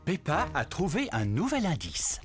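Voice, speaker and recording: An actor narrating a children's cartoon like a cheerful storybook teller. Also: male voice, fairly high pitch, wildly swooping pitch, normal speed, loud music behind the voice. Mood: storytelling.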